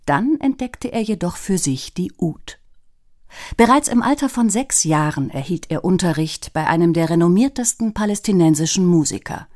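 Mellow woman narrating a documentary. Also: on the low side. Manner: measured, even reading.

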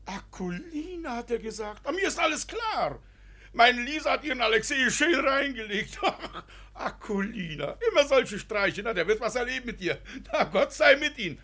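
An effusive, jovial landowner father from a comic radio play. The voice is high, husky and gravelly, with a theatrical delivery full of excitement. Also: moderate pace, heavily acted. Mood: delighted. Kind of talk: comedy radio play acting.

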